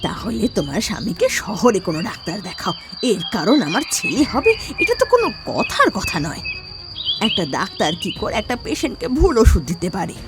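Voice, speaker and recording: Village mother: indignant, protective, expressive pitch, cartoon dialogue with background music. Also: a little fast. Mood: defensive. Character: defensive village mother protecting her son.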